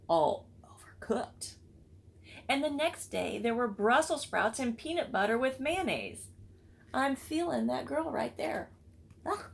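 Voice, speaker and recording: Creaky-voiced woman reading a storybook aloud. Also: fairly high pitch, a little hiss or hum. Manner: expressive, with chatty asides to listeners. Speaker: read-aloud book club reader. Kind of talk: children's storybook read-aloud.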